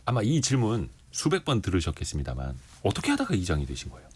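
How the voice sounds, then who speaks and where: A man's voice: on the low side, wildly swooping pitch, a little mellow, slightly rough. A man hosting a radio interview show, reading market figures and chatting with a guest in a conversational manner. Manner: conversational, quick back-and-forth. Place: radio studio.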